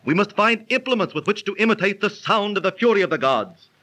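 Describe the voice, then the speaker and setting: High-voiced, zealous young warrior leader. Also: male voice, lively intonation, quick pace, bright, forceful tone. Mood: urgent, commanding. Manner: declamatory, archaic stately diction. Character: zealous young Tartar warrior, chieftain's son, rallying his tribe. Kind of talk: 1930s radio drama acting. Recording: noisy old 1930s radio transcription recording.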